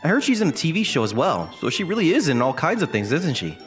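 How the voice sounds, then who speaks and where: A man's voice: on the high side, big pitch swings, quick pace. A man playing a young college student, ordinary, guarded and self-deprecating, in an anime-style dub with a hesitant, dry, puzzled delivery. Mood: surprised, hesitant. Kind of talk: anime-style dub with first-person narration and inner monologue. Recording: music behind the voice.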